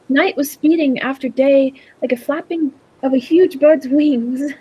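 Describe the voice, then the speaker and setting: A very high-voiced young lady adventurer acted by a woman, anxious yet brave. She speaks and narrates in dramatic, literary 1940s radio drama style, as companion to an eccentric time traveller. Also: mellow, slight background noise, low-quality recording.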